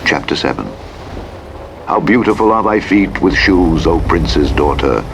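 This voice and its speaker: A man narrating the Bible in a measured, solemn scripted reading. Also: deep voice, expressive. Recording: clear vehicle and road noise throughout. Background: truck engine and road sounds from a driving game.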